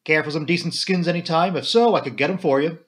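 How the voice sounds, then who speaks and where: A man voicing a gruff, humble big-game hunter, speaking in short, abrupt, simple phrases for an audiobook. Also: on the high side, fast, pitched above the performer's own voice, natural voice. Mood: awkward.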